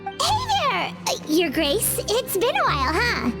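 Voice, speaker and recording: Squeaky, very high little fairy companion. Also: female voice, wildly swooping pitch, a little slow, full character voice. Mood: surprised, curious. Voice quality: squeaky, childlike. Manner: exclamatory, refers to herself in third person. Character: small excitable flying fairy-like companion girl, curious. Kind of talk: anime video game voice acting. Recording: background music.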